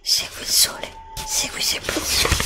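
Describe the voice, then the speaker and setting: A woman's voice: normal speed, close to an everyday voice. A woman playing an anxious, desperate heroine lost in a magic forest, breathily repeating herself in short bursts in an ASMR roleplay. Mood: anxious, resolving. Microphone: close USB studio microphone.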